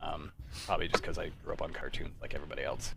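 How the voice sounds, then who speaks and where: A man's voice: rumbling low, fairly even intonation, rapid-fire, gravelly, background noise. A man, chatting casually as a livestream host. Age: 30s. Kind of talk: casual fan chat.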